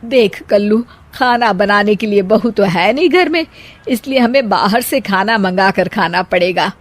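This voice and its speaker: A woman voicing a kind but shrewd middle-aged mother-in-law in an animated comedy story, talking in a homely, elder-sister way. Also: medium pitch, measured pace. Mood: bossy.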